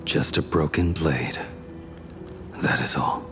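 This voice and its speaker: A man playing a stoic, world-weary ancient swordsman in a video game, grave and terse, his phrases often sinking into a breathy hush. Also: extremely low pitch, slow pace, music in the background. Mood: solemn, resigned. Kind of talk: dramatic video game voice acting.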